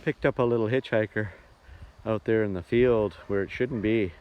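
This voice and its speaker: A man's voice: lowish voice, moderate pace, highs cut off. A man talking casually to camera as a travel vlogger, recorded outdoors. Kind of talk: casual vlog narration to camera. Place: outdoors on a camping tour. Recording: noisy outdoor ambience.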